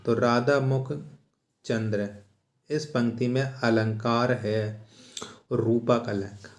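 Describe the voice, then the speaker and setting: A man's voice: medium pitch, level tone, takes it slowly. A man teaching an exam-prep lesson, posing questions and repeating each answer for emphasis. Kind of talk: instructional question-and-answer walkthrough. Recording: roomy, echoing sound.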